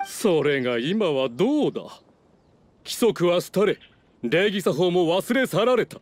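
A haughty young-blooded noble of a fallen house in a game cutscene. His voice sits high for a man, pompous and full of scorn, with prominent music underneath. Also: sing-song, unhurried. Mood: scornful, proud. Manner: pompous, scornful, archaic lordly speech. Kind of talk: theatrical video game dialogue.

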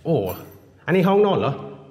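A man's voice: on the high side, sing-song, measured pace, a little mellow. A man giving a casual walk-through of a house for sale, thinking aloud and trying out broken Thai phrases. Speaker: vlogger touring a house for sale. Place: inside an empty house with hard floors. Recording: echoey bare rooms.

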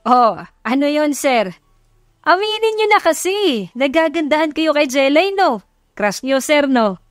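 A woman's voice: sits high, expressive, unhurried, dry recording, studio quality, voice pushed up. A woman voicing a cheeky male assistant who banters playfully with his boss in an audio love story. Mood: teasing.

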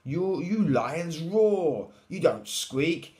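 Storybook mouse played by a man: small helpful female, squeaky high pitch, echoey. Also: lively intonation, very slow, darkish tone. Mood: puzzled. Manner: squeaky, encouraging. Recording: echoey room.

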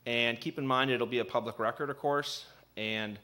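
A man's voice: medium pitch, steady tone, normal speed. Man giving an ethics training talk. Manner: conversational, lots of fillers; says he has a loud voice. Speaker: assistant city attorney. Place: city meeting room. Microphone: desk microphone on a stand.

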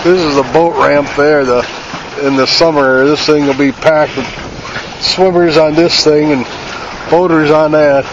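A folksy man with a fairly high voice narrates casually and slowly outdoors. He rambles in plain colloquial talk as if to himself, over clearly audible wind and water noise. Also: fairly even intonation. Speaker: amateur vlogger. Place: outdoors on a windy lake pier. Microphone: handheld camera or phone. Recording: muffled outdoor phone recording, loud wind noise.

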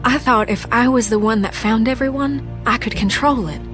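A soft-spoken young woman survivor voiced by an actress in a dramatic video game. She is gentle, guilt-ridden and confiding, with a folksy turn of phrase, over clear background music. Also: on the high side, normal speed, lightly acted. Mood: remorseful.

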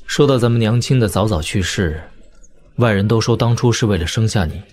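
An actor playing a weary, tender young lord and elder brother in an audio drama, speaking in a measured, reflective way. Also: male voice, on the low side, a little mellow, natural voice. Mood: tender, rueful. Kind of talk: audio drama dialogue in Mandarin wuxia serial.

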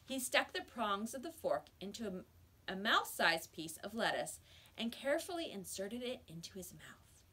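A middle-aged woman reading a children's book aloud in a measured, expressive way, indoors in a small room. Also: medium pitch. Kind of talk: children's storybook read-aloud. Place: small school office or library room, indoors.

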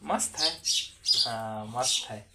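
A man's voice: medium pitch, takes it slowly. A man in his thirties chatting casually to viewers while making a drink at home on the floor of a village house. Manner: chatty, addresses viewers as friends. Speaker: vlogger. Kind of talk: casual home cooking vlog. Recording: loud background noise.

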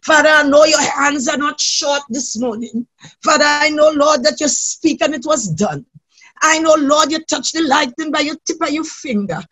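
Casting: high-pitched worship leader, fervent spontaneous prayer, impassioned and imploring. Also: moderate pace, sharp tone. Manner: impassioned, pleading, repeatedly calling on God. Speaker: worship leader praying aloud.

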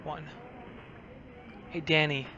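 A man's voice: on the high side, level tone, takes it slowly, muffled recording, very noisy. A man casually narrating his roleplay playthrough of a video game. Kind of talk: casual game commentary, partly in character.

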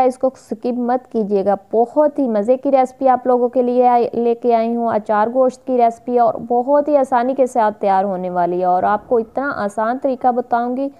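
A woman's voice: fairly high pitch, dull audio. A woman hosting her own cooking vlog, narrating a recipe step by step in a chatty, repetitive way with fillers, recorded on a phone in a home kitchen. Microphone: phone camera filming the pot.

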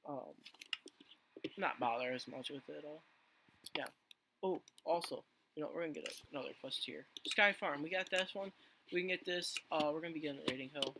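A man with a hoarse voice he says he is losing, giving rambling casual let's play commentary full of fillers and restarts. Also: on the high side, brisk, background noise. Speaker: gaming channel host.

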